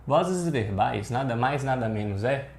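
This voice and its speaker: A man's voice: medium pitch, lively intonation, unhurried, gravelly, a little mellow. A Brazilian man teaching an informal grammar lesson, colloquial, often asking questions and answering them himself. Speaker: Portuguese grammar teacher for exam preparation. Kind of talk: informal online lesson, explaining with examples. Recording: echoey room.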